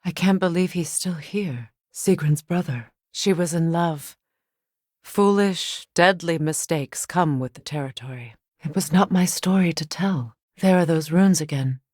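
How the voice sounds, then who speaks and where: A woman voicing a composed, wry, middle-aged warrior goddess, a measured and knowing companion in a video game. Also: fairly low pitch, darkish tone, dry recording, clean studio sound. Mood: knowing, gentle.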